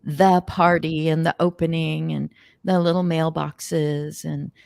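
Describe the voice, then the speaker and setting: A woman's voice: sits low, little pitch movement, a little slow, vocal fry, a little mellow, no room sound, studio quality. A woman hosting an unscripted group chat on a video call in a playful, exclamatory manner. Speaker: host.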